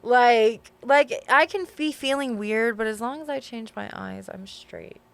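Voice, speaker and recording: A young Texan woman talks loosely and frankly, full of slang and cursing, with easy laughter running through her speech. Her voice sits fairly high and is recorded close and dry, with no room sound around it. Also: a little slow, gravelly, fairly bright tone, no room sound. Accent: Texas. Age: young adult. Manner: candid, slangy and swearing, laughs often. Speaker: guest. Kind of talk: casual chat.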